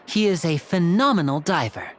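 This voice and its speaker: A woman's voice: lowish voice, lively intonation, normal speed, a little hiss or hum, natural voice. A woman voicing a polite, polished young male magician and caring older brother in a video game. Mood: courteous, bright. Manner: courteous, polished.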